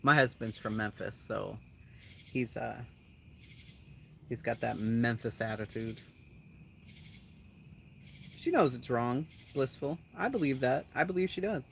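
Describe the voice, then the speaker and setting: A woman with a Boston accent hosting a casual live chat at home, blunt and quick to swear, laughing often as she reacts to comments. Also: rumbling low, vocal fry, a little hiss or hum. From Boston area, USA. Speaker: channel host.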